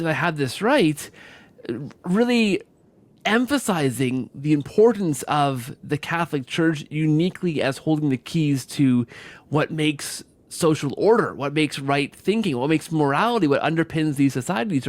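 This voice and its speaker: A man's voice: fairly high pitch, dry recording. A man hosting a podcast interview, talking conversationally in long, rambling questions that restate themselves and seek confirmation. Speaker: podcast host.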